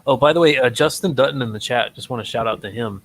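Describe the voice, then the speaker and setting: A man co-hosting a casual, unscripted talk show, speaking in a halting way with frequent ums and pauses. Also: medium pitch, a bit bright, dry recording.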